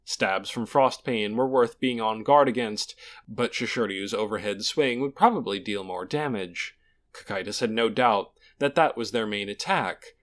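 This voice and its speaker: A man's voice: lowish voice, moderate pace. A man narrating a fantasy audiobook in a dramatic, scripted storytelling style.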